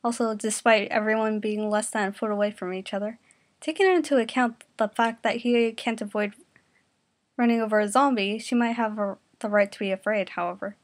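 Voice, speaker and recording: A woman's voice: mid-range voice, fairly even intonation, fairly quick. A woman narrating a comedic story in an informal amateur style, now and then stumbling over words. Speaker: reader narrating fan fiction.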